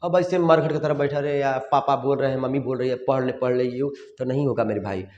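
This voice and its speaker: A slightly hoarse man, teaching a lively physics exam revision lesson with folksy coaching and constant tag questions. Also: medium pitch, fast talker, dull audio. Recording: roomy echo, long reverb.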